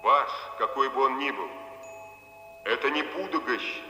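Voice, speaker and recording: Echoing, solemn voice from the future. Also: male voice, mid-range voice, a little slow, pushed and bright. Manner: formal, grandiose, emphatic. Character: solemn, insistent male voice calling from the future. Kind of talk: Soviet radio drama acting. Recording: echoey, reverberant radio-play effect, faint music.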